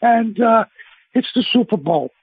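A man's voice: very high-pitched, expressive, unhurried, breathy edge, no echo. Chatty Brooklyn man on a tinny phone line. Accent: Brooklyn New York. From Brooklyn, New York. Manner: chatty, emphatic, salesman-like banter. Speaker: celebrity chef guest. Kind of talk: casual phone-in radio chat. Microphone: telephone.

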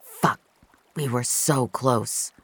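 A woman playing a low-key, apologetic young man in an audio drama, speaking in a subdued, casual, hesitant way. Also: very slow, slightly rough, dry recording, heavily acted. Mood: deflated. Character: Soren, low-key, apologetic young male online friend.

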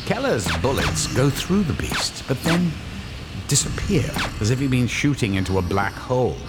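An actor playing a grave, suspenseful narrator of a horror radio drama, reading scripted narration theatrically. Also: male voice, medium pitch, big pitch swings, normal speed, very noisy, close to an everyday voice. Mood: urgent. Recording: loud music behind the voice.

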